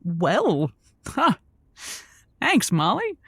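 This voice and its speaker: A woman's voice: medium pitch, huge pitch swings, very slow, slightly rough, no echo, clean studio sound, full character voice. An actress playing a chatty, earnest woman radio host who stammers emphatically while giving advice in a comedic audio drama. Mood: bemused, upbeat. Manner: stammering, searching for words, emphatic. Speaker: call-in radio show host.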